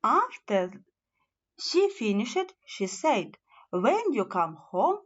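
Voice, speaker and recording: Female storybook reader for fairy tales: animated intonation, unhurried pace, slightly muffled lo-fi sound. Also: on the high side, slightly rough. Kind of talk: children's fairy tale narration.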